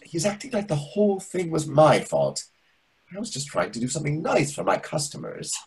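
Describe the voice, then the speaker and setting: A man's voice: fairly high pitch, wildly swooping pitch, normal speed, vocal fry, darkish tone, breathy edge, heavily acted. A man in his thirties playing a dramatic, flustered, camp waiter in a play reading over a video call, indignant and arch. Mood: agitated. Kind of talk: readers theatre play reading.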